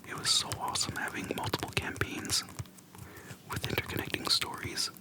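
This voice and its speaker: An ASMR host speaking in a soft, breathy unvoiced whisper, slow and hushed with pauses between short phrases, close to the microphone. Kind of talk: whispered ASMR, relaxed personal chat. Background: tapping on a plastic case.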